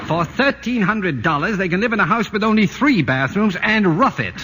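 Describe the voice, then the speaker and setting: An actor playing an exasperated, wisecracking husband in a 1940s radio drama, recorded on an old broadcast. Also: male voice, high-pitched, animated, moderate pace. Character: harried husband building a house, middle-aged. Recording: old radio broadcast with long reverb, faint hiss.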